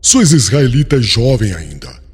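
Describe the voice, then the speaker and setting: A man's voice: mid-range voice, very animated, moderate pace, soft background music. A man playing a proud, scornful religious prosecutor in an audiobook, speaking formally and severely. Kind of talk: dramatized audiobook acting, archaic formal speech. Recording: long hall reverb, slightly husky sound.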